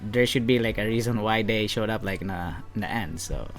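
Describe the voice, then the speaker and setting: A man's voice: lowish voice, brisk, faint music behind. A man of about thirty from the Philippines, chatting casually and hesitantly, full of fillers. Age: 30s. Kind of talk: casual chat.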